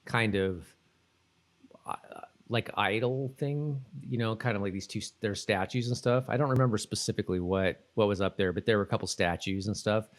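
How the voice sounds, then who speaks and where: A middle-aged man co-hosting a podcast in casual chat, hedging and often correcting himself as he goes. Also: on the low side. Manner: hedging, halting, self-correcting. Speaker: podcast co-host.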